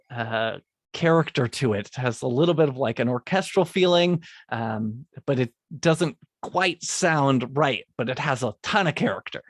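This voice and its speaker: A man's voice: a little high, no room sound. A man, a music composer, explaining how he built his theme piece, partly reading and correcting himself as he goes. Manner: restarts and corrects his script lines.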